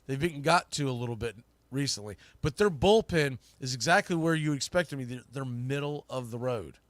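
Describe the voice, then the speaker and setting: A man's voice: medium pitch, lively intonation, brisk, no room sound. A man hosting a sports podcast, giving emphatic, opinionated analysis and repeating phrases for stress. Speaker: sports podcast host, play-by-play broadcaster.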